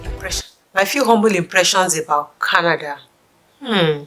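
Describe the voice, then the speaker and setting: Nigerian woman with a low voice. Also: normal speed, a bit bright, soft background music. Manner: chatty, musing, with asides and restarts. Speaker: vlogger for immigrants. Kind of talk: casual chat to camera.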